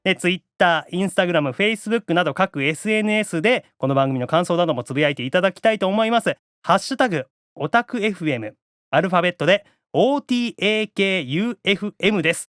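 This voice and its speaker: A man's voice: high-pitched, lively intonation, brisk, fairly bright tone, no room sound, clean studio sound. A man with a Kansai accent hosting a podcast in casual chat, teasing playfully and reacting with exclamations. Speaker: podcast host, voice actor.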